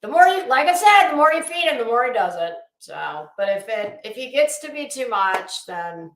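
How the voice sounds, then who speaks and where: A woman's voice: on the high side, very animated, normal speed, a little hiss or hum. A blunt, sweary woman ranting casually to viewers as host of a livestream, emphatic and often restarting her phrases. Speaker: host of a live commentary channel. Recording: echoey, roomy sound.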